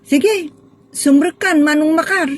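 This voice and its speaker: A woman acting an eager, outspoken young village woman in a radio drama, expressive and bantering, with a Filipino accent. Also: high voice, unhurried. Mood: teasing.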